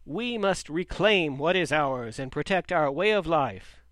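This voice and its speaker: A man's voice: a little high, animated, unhurried, fairly bright tone, no echo, studio quality. A man voicing a stern sheep leader. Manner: firm, declaring.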